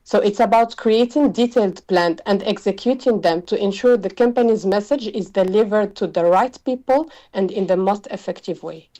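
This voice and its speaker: A woman's voice: mid-range voice, normal speed, hardly any pauses, dark tone, highs cut off. A woman from Morocco with a Moroccan accent, answering interview questions as a communications executive.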